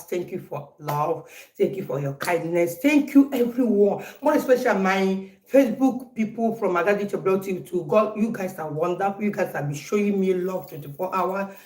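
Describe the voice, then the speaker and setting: A woman's voice: lowish voice, a little mellow, roomy sound. A Nigerian woman in her forties, chatting casually in a home vlog and playfully repeating herself. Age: 40s. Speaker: vlogger. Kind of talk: casual birthday vlog to viewers.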